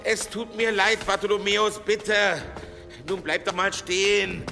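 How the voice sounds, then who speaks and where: An actor playing an anxious, remorseful, devout brother in a radio play, urgent and imploring. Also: male voice, sits high, steady tone, a little slow, creaky, bright, forceful tone, faint music behind, exaggerated voice. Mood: urgent, apologetic. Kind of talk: dramatic radio play acting. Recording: long hall reverb.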